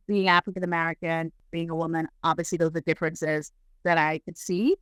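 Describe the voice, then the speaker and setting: A woman from Jamaica with a lowish, smooth voice tells her story as a podcast guest, thoughtful and unhurried, with many ums along the way. Her voice is recorded close and dry. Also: fairly bright tone, no room sound. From Jamaica, raised in Brooklyn. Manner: reflective, measured, with many fillers. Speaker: guest, HR executive. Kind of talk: conversational interview.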